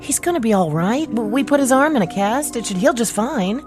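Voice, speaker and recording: Woman as a brisk, wry army nurse over music. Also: fairly high pitch, lively intonation, natural voice. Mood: teasing. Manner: teasing, no-nonsense. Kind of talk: dramatic radio acting. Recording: dramatic music behind the voice.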